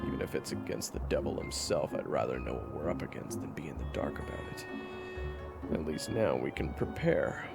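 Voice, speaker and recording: A man playing a blunt, excitable vampire hunter with a gruff, teasing temper in an audio roleplay. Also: rumbling low, a little fast, over loud music, pitched below the performer's own voice, exaggerated voice. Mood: gruff, determined.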